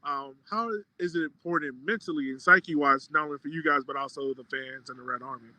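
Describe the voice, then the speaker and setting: A man's voice: on the high side, a little fast, sharp tone, rough recording. A man hosting a podcast, speaking in a casual sports-interview style.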